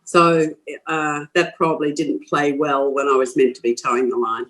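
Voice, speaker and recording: A woman's voice: deep voice, level tone, normal speed, a bit bright, poor audio. An older woman with an Australian accent from Queensland, a guest in a podcast interview, talking frankly and forthrightly with frequent restarts. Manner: frank, forthright, laughs easily, many restarts.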